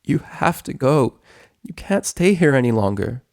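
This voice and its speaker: A man's voice: mid-range voice, very animated, unhurried, darkish tone, pitched above the performer's own voice. A man voicing a grieving, devoted female unicorn in a story reading, earnest and strained with emotion. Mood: firm sorrow.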